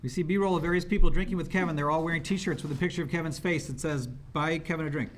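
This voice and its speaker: A man's voice: on the high side, quick pace. A man reading stage directions aloud as scripted narration at a live table read. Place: live table read in a room with people laughing. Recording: noisy live room recording.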